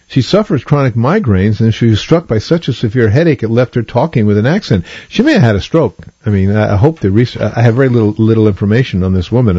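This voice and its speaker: A man's voice: fairly low pitch, warm tone, husky, dry recording, highs cut off. A man hosting a radio show, chatting casually about news items in a digressive way, with plenty of fillers and restarts. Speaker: radio host.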